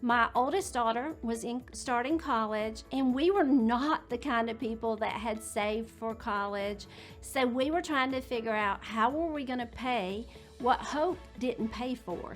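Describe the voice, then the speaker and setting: Chatty woman in her forties, slightly high. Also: normal speed, faint music behind. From Georgia, USA. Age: 40s. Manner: chatty, storytelling, candid. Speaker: real estate agent. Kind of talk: casual talk to camera.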